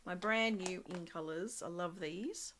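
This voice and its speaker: A woman with a somewhat low, slightly croaky voice runs a relaxed live craft demonstration. She talks things through as she works, full of small pauses and easy little laughs. A faint hiss of noise sits behind her. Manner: chatty, thinking aloud with many ums and small laughs. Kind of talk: casual live craft demo.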